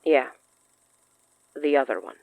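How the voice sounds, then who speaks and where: A woman acting in an audio drama as a wary, thoughtful occult expert who explains things in an earnest, measured way. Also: extremely low pitch, expressive, dry recording, phone-quality sound, heavily acted. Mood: resigned.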